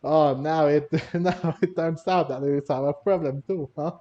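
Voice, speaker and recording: A man giving casual game playthrough commentary in a halting, repetitive, giggly way, on a lo-fi mic. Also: on the high side, fairly even intonation, mellow. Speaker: gaming video host. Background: faint game music.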